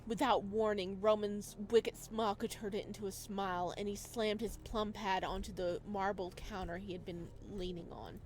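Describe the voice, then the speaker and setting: A woman's voice: medium pitch, fairly even intonation, normal speed, hardly any pauses, a little mellow, a little hiss or hum. A woman reading a visual novel aloud as dramatic narration for a let's play.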